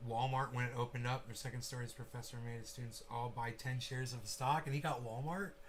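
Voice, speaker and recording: A man's voice: mid-range voice, fairly even intonation, fairly quick, slight background noise. A man hosting a casual livestream, rambling and swearing freely while making sound effects with his mouth. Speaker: channel host.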